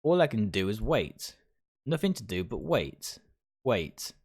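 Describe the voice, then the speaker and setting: A man's voice: fairly low pitch, very animated, unhurried, darkish tone, slightly rough. A man livestreaming a visual novel, reading its text aloud and stumbling over phrases now and then. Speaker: video game streamer.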